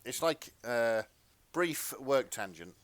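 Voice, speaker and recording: A man co-hosting a podcast, chatting casually with a slightly hesitant, halting delivery. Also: medium pitch, expressive, a bit bright. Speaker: podcast co-host. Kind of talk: casual chat.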